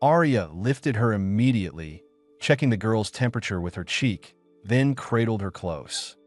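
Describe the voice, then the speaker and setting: Male narrator for sci-fi audio story; low register, expressive range, measured and serious. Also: no echo, faint music behind.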